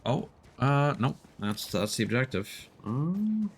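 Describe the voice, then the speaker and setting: A man's voice: medium pitch, sing-song, slow, a little hiss or hum. A man giving casual live game commentary, thinking aloud and explaining things as he plays. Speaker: streamer, gamer.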